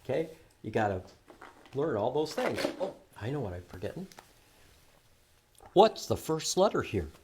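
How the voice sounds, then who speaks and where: A man presenting a children's library storytime, reading aloud in an animated, sing-song way with questions and repeated words for young listeners. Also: medium pitch, normal speed, pauses often, slight background noise.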